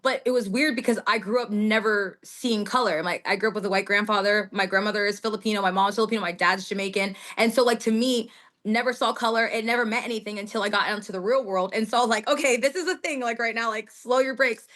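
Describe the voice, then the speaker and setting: A woman's voice: medium pitch, fairly even intonation, fast talker, a bit bright. A young woman from the United States, a guest in a casual interview, talking in a chatty way with lots of laughs and filler words. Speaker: guest wrestler.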